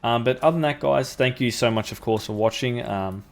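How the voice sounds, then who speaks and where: A man's voice: medium pitch, brisk, gravelly. A young man with a sore throat, casually talking through a factory-building game as the channel's host, thinking aloud with ums. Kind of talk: gameplay commentary.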